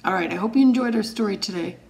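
A woman in her forties speaking deliberately and simply, as a teacher reading a story aloud to children. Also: mid-range voice, vocal fry, slight background noise, poor audio. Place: indoors, wood-panelled room.

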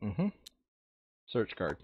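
A man chats casually as he plays a board game, his pitch moving around a lot. The sound is close and dry, slightly dull and lo-fi. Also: huge pitch swings, extremely slow, slightly rough, no echo. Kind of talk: casual gaming chat. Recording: dull, lo-fi voice chat microphone.

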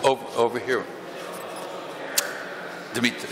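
A man's voice: mid-range voice, fairly even intonation, a crowd in the background. Older man moderating in an echoey hall. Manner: measured, many pauses and fillers. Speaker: panel moderator. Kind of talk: conference panel discussion. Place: large conference hall stage. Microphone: table microphone.

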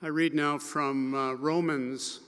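An older Canadian man reads scripture and preaches from a church pulpit at a slow, careful pace. His voice sits a little high for a man and carries a long reverb through the large sanctuary. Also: fairly even intonation, fairly bright tone, clean tone. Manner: slow, measured reading. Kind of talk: scripture reading and sermon. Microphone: pulpit microphone at lectern.

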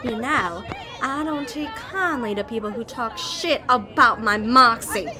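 Woman playing a high-pitched feisty demon gal. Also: a little slow, a crowd in the background, natural voice. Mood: furious. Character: feisty, hot-tempered female demon assassin, fiercely protective. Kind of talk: animated fan audio drama acting. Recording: music behind the voice.

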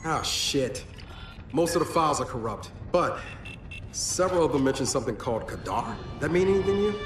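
Man playing a lively, high-voiced young soldier. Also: fairly quick, distant mic, natural voice. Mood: casual, curious. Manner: casual, urgent in combat. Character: young male soldier, easygoing, loyal squadmate. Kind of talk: video game acting. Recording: echoey game scene with loud music.

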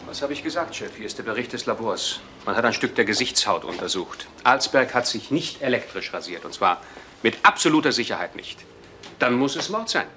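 An actor playing an assertive, emphatic police detective in a radio crime play. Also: male voice, medium pitch, big pitch swings, a little fast, bright, forceful tone, a little hiss or hum. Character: emphatic male detective convinced of murder. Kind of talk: German radio crime drama acting.

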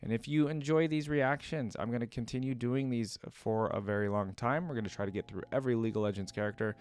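Young man, reaction host, medium pitch, rambling casual chat, tentative, close dry sound. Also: fast talker, darkish tone, clear, resonant tone, no room sound.

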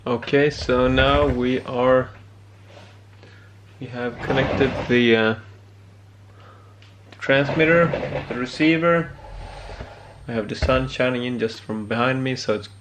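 A man giving a casual hands-on demonstration as a hobbyist, often pausing mid-phrase. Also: mid-range voice, background noise. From Sweden. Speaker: amateur radio hobbyist presenter. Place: indoor home electronics workbench.

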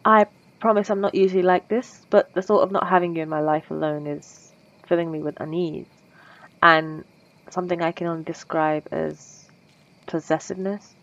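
A woman's voice: lowish voice, steady tone, normal speed, highs cut off, lightly acted. A woman voicing a polite, lovestruck female vampire in an ASMR roleplay, speaking formally and old-fashioned with nervous stammers.

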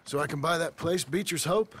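An actor playing an earnest, humble American ranch hand in a western video game, speaking haltingly and deferentially in a gravelly voice. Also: male voice, a little high, fairly bright tone, slight background noise, close to an everyday voice. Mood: earnest, hesitant. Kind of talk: video game cutscene acting.

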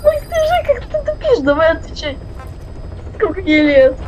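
A woman's voice: extremely high pitch, very animated, normal speed, darkish tone, slight background noise. A woman chatting casually during a game, teasing and insistent, repeating herself. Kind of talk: casual chat while gaming.

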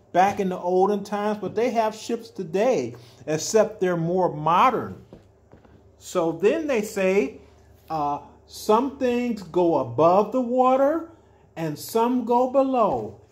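An older man reading a children's picture book aloud as a storyteller, adding simple explanations with drawn-out emphatic words. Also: high-pitched, animated, darkish tone. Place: small furnished room at home, indoors.

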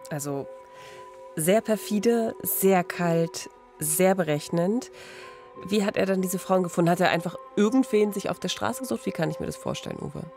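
A woman's voice: on the low side, normal speed, a little mellow, faint music behind. A young adult woman co-hosting a podcast with conversational questions and comments, close on a studio mic. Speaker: podcast co-host. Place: small radio studio. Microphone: close studio boom mic with pop filter.

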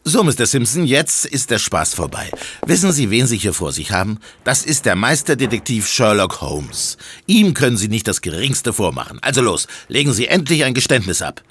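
An actor playing a smug, hectoring police inspector in an audio drama, pressing and accusatory, with some ambience behind him. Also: male voice, medium pitch, big pitch swings, normal speed, hardly any pauses, a bit bright, a little hiss or hum. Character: triumphant, hectoring male police inspector interrogating suspect.

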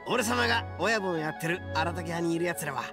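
A man's voice: a little high, normal speed, over music, close to an everyday voice. A man voicing a brash, boastful young oni gang boss with a swaggering, theatrical delivery in a game.

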